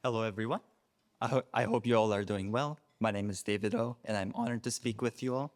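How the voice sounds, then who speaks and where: A man's voice: fairly low pitch, steady tone, fast. A young man from South Korea, a student, giving an earnest, formal prepared speech at an awards reception. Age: young adult. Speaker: student speaker. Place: reception room at a faculty club.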